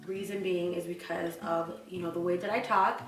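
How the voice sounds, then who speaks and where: A woman's voice: lowish voice, little pitch movement, moderate pace, echoey room, recorded from a distance, soft background music. A woman with a stutter, talking in a casual, reflective personal chat to her viewers, with fillers and restarts. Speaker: vlogger.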